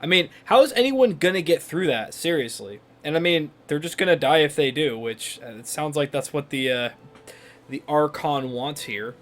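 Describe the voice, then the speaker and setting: A man's voice: on the high side, expressive, moderate pace, a bit bright. A man giving blunt, joking, casual commentary as host of a gameplay video.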